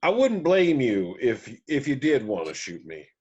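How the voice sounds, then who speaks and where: A man in a screenplay table read playing a remorseful absent father and former drunk, speaking haltingly and contritely. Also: mid-range voice, huge pitch swings, darkish tone, breathy edge, lo-fi sound, exaggerated voice. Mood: humble, wry.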